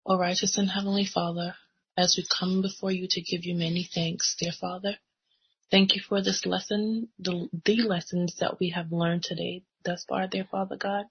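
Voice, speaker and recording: A woman's voice: lowish voice, little pitch movement, normal speed, breathy edge. A woman leading a church prayer aloud in a reverent, formal way. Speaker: congregant leading closing prayer. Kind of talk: spoken extempore prayer. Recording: muffled lo-fi audio.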